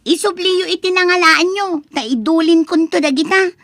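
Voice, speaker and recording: A woman's voice: very high-pitched, moderate pace, a bit bright, no echo, clean studio sound. A young woman voicing a spoiled college-student daughter who talks in a whiny, dismissive way. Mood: bratty. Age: young adult. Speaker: voice actor. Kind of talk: audio drama acting.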